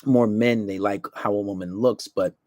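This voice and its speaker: A man's voice: fairly low pitch, steady tone, normal speed, dark tone, no room sound, studio quality. A man hosting a talk show, speaking casually and bluntly, giving opinions in coarse language.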